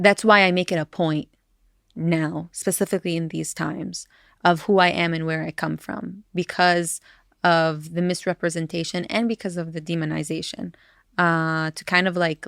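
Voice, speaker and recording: A woman's voice: on the low side, gravelly, fairly bright tone, smooth, no echo, studio quality. A Palestinian woman from East Jerusalem, a podcast guest talking in casual conversation, earnest, with many fillers and restarts.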